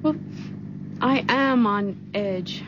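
Worried young woman in radio mystery; high-pitched, pleading, over clearly noisy old recording. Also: drawn-out words, dull audio. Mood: uneasy. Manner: hesitant, pleading. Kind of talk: 1970s radio drama acting.

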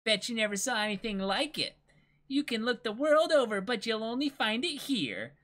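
A man's voice: very high voice, very animated, moderate pace, a bit bright, pitched above the performer's own voice, heavily acted. A man voicing a cheerful, chatty little girl who explains things in a manga reading. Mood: cheerful, proud.

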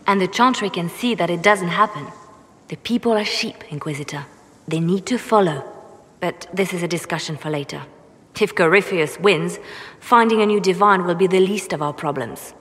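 An actress playing a hooded female spymaster in a fantasy video game, speaking in a measured, persuasive way. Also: on the low side, a bit bright, in a large hall, lightly acted. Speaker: advisor.

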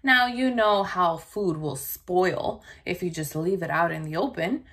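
A woman voicing a folksy, mock-innocent wolf who tells his side in an expressive, conversational picture-book read-aloud with dramatic drawn-out emphasis. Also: lowish voice, pitched below the performer's own voice. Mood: confiding, self-justifying.

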